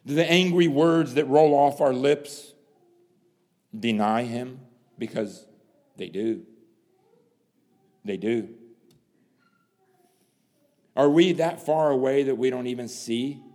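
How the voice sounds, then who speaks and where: A man preaching a sermon, dramatic, swinging from hushed lines to loud emphasis and asking rhetorical questions. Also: medium pitch, slow pace, pauses often. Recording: long hall reverb.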